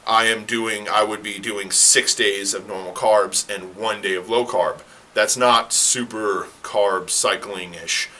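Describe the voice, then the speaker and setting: Fitness coach guy, kinda hoarse, bright tense voice, lowish pitch, rambles and corrects himself. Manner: rambling, self-correcting, conversational. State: slightly hoarse, clearing throat. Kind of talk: casual explainer talk to camera.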